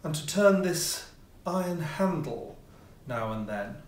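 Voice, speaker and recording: Guy narrating an old ghost story, theatrical measured delivery, roomy echo, bit far from the mic. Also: a little high, animated. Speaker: audiobook reader, amateur player. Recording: roomy echo, distant mic, faint noise.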